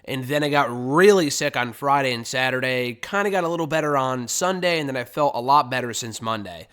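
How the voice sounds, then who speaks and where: A man's voice: medium pitch, expressive, a little fast, a bit bright, clear, resonant tone. Stuffy-nosed guy in his 20s rambling thru his weekly show, curses a lot, super casual. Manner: chatty, rambling, swears casually. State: congested from a cold. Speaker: podcast host. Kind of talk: casual solo webcast.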